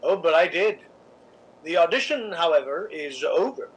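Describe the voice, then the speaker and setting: A man's voice: on the high side, expressive, normal speed, husky, poor audio. A man playing a suave, supercilious, waspish theatre critic in 1950s radio drama style, arch and precise. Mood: smug, needling.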